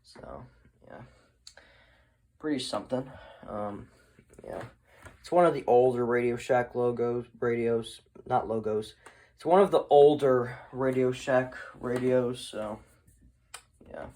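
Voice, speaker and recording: A man's voice: medium pitch, takes it slowly, long pauses, mellow. A man giving a casual, unscripted show-and-tell, rambling and often trailing off into short asides. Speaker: hobbyist video host.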